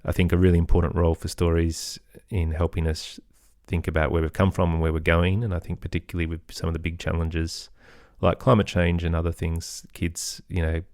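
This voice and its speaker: A man's voice: deep voice, little pitch movement, a little fast, hardly any pauses, a little mellow, no room sound, clean studio sound. A middle-aged man giving thoughtful, earnest answers as an interview guest in a conversational way. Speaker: interview guest, podcaster and musician.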